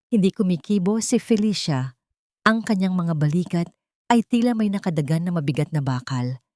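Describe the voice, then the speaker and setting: A woman's voice: fairly low pitch, a little mellow, dry recording, studio quality. A woman narrator reading a scripted, dramatic story as an audio drama.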